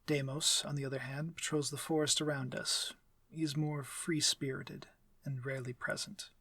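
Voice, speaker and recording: A man playing a courteous, commanding vampire lord in an intimate ASMR roleplay, speaking formally in a measured, breathy, near-whispered voice. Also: medium pitch, level tone, bright, forceful tone, no echo, exaggerated voice. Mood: composed. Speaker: audio roleplay voice actor.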